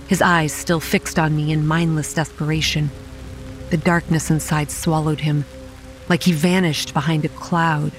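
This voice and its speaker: A woman's voice: low voice, lightly acted, rough recording. A young woman played by an actress tells a horror story in the first person, as a campground manager on edge. Her delivery is wry and given to sardonic understatement, with music behind her. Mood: shaken, dread. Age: young adult. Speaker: narrator and lead character. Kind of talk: first-person horror audio drama narration. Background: rain and music.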